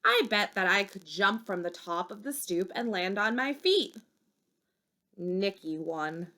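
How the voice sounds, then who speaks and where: Bright-voiced woman reading a bedtime story. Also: mid-range voice, lively intonation, a little slow. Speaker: storybook reader. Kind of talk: expressive children's bedtime story read-aloud.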